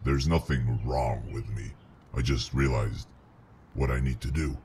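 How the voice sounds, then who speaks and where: A man's voice: extremely low pitch, measured pace, a little mellow, a little hiss or hum, voice pushed down. A man voicing a commanding, threatening demon bent on killing humans in an audio roleplay. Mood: cold, menacing.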